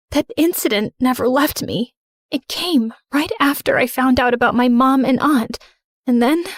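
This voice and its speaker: A woman acting as a guilt-ridden teenage girl telling her own story. The voice is fairly high and very dry, with a confessional, dramatic first-person narration. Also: no echo. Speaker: narrator and main character.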